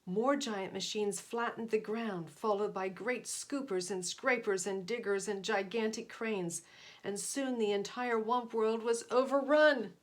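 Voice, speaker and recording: A woman's voice: medium pitch, a little slow. Woman reading a children's story aloud. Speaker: volunteer picture-book reader. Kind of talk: read-aloud children's story narration with asides to listeners.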